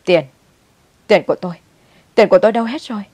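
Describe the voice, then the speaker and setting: A woman's voice: sits low, quick pace, dry recording. A woman voicing a bitter, angry old grandmother in a story, scolding and wailing in outrage. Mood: wailing, furious.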